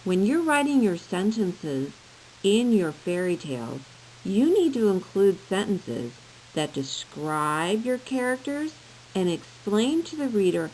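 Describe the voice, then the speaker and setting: A woman's voice: medium pitch, sing-song, slow, darkish tone, poor audio. A woman teacher narrating a scripted lesson for children in simple, explanatory phrasing. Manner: simple, explanatory phrasing addressed to young learners. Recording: clear background hiss.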